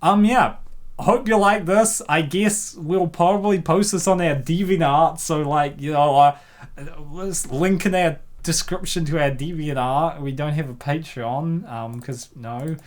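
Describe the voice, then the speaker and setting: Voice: medium pitch, unhurried. A co-host narrating a comic dub and reacting casually, with an ironic, teasing manner.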